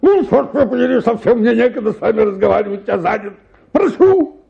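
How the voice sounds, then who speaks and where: An actor playing an exasperated, hysterical male bank accountant, raspy and stammering, in a theatrical radio play style. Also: lively intonation, fairly quick, muffled recording, full character voice. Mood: angry, threatening. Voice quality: raspy, creaky, high-pitched. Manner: flustered, stammering, repeating himself, theatrical.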